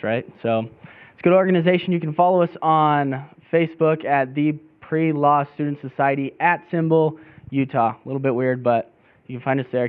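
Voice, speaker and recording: A man's voice: medium pitch, smooth. A young man, a student society president, giving a casual, slightly hesitant promo of his group. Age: young adult. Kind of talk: brief promotional talk. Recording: phone-like thin recording.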